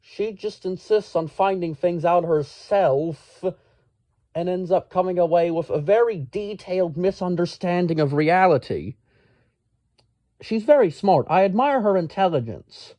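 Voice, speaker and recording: A man telling a casual, rambling story in a pedantic, exasperated, halting manner. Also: sits high, animated, mellow, dry recording. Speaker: storyteller, amateur science enthusiast.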